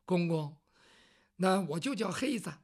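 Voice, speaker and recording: Brief: a storyteller playing a quiet, polite young official, low and soft-spoken. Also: lively intonation, measured pace. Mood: deferential. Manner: quiet, terse, deferential. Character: dutiful, reserved young official. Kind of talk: traditional Chinese storytelling.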